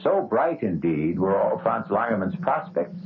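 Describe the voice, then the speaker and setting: A man narrating a 1970s radio drama in a measured, ominous way. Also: lowish voice, sing-song, creaky, slight background noise. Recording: phone-like old radio broadcast with music.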